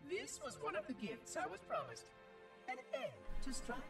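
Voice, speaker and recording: High, swooping, fussy robot monitor. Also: female voice, wildly swooping pitch, normal speed, vocal fry, roomy sound, distant mic, heavily acted. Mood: troubled, bored. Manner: formal, precise, clinical diction. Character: eccentric robotic installation monitor, fussy, bored, verbose. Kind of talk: scripted game cutscene monologue. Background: music.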